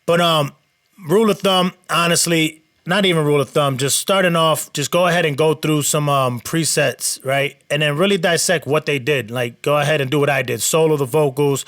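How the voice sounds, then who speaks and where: A man's voice: fairly high pitch, no room sound, studio quality. Nasal man explaining a mix. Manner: relaxed, rambling explanations with fillers. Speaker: mixing engineer and channel host. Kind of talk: casual screen-share tutorial.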